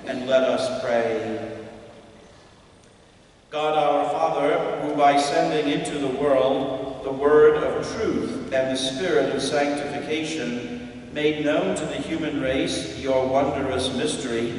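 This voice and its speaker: A priest leading the prayers at mass, reciting slowly and solemnly at a lectern microphone in a church sanctuary. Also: male voice, a little high, fairly even intonation, darkish tone, a little hiss or hum. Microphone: stand mic at lectern, far camera. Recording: echoey church from far off.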